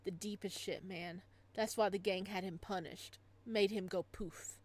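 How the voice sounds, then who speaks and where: A woman reading visual novel lines as a slangy, emphatic young male bar host sharing excited street gossip. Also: medium pitch, a little slow, natural voice. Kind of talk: visual novel dialogue read aloud.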